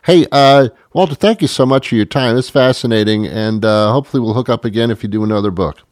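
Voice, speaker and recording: A man hosting a casual radio interview, friendly, quick to laugh, and full of short acknowledgements. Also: mid-range voice, dry recording, studio quality. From Boston area. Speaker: radio show host.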